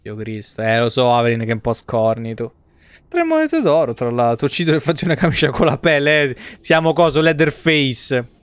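A man's voice: medium pitch, sing-song, fairly quick, smooth, no room sound. A man gives casual, joking, sweary live commentary on a game. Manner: informal, joking, swears freely. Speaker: live streamer. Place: indoor room, seated at a desk.

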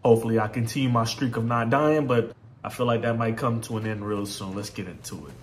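A man's voice: mid-range voice, fast talker, a little hiss or hum. A man from Louisiana, giving laid-back, profane let's-play commentary. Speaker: gaming channel host.

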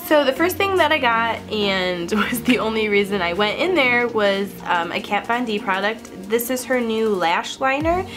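A woman's voice: on the high side, expressive, moderate pace, few pauses, music in the background. A woman in her twenties, a beauty vlogger chatting casually to the camera in a house hallway, her voice scratchy and stuffy from a cold, laughing often. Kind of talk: casual haul vlog. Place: upstairs hallway of a house, indoors. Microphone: camera-mounted mic, seated close to the camera.

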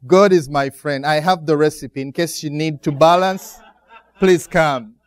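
Man, Ugandan accent, guest preacher, fairly high pitch, smooth resonant voice, frequent pauses, church sermon. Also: no echo. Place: speaking to a congregation in a church.

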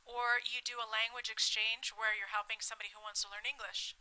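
Bright-voiced Russian-born woman panelist. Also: on the high side, rough recording. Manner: animated, talks with her hands. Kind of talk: panel discussion. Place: conference room with audience. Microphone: table mic on stand.

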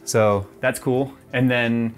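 A man's voice: medium pitch, fairly even intonation, slow. A man in his forties giving a casual tour of his home game room, chatty and loose with plenty of ums, on a lapel mic. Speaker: tech YouTuber.

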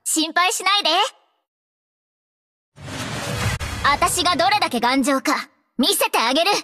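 A woman's voice: very high-pitched, lively intonation, fairly quick. A woman voicing a brave, earnest young half-elf girl who guards others, in resolute, anime-style video game hero lines. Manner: resolute, earnest, clearly projected delivery. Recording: studio voice over game music. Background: game music.

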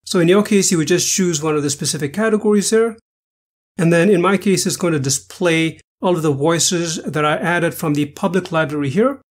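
A man presenting a software tutorial, narrating each step in an instructional way. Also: on the high side, fairly quick. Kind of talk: scripted screen-capture tutorial narration.

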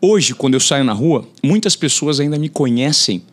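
Podcast guest: man in his forties, expressive studio talker, lively pitch. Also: normal speed. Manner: animated, gestures a lot, acts out quotes in a raised voice. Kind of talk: conversational interview. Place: podcast studio with brick wall. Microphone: studio mic on boom arm.

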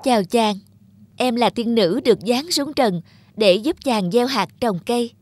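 A woman playing a gentle, devoted young fairy maiden in a cartoon fairy tale, speaking politely and earnestly. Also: a little high, unhurried. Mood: gentle, bright. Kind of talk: animated fairy tale acting. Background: background music.

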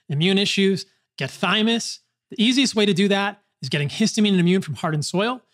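High-pitched male podcast host. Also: fairly bright tone. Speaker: doctor. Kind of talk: conversational podcast talk. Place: small home office, indoors. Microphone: close desk podcast microphone.

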